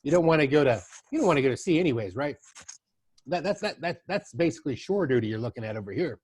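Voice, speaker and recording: A man's voice: fairly high pitch, animated, fast talker, a little mellow, slightly rough, no room sound. A middle-aged man hosting a podcast, chatting casually and telling loose stories with asides. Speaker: podcast host. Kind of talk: casual conversation.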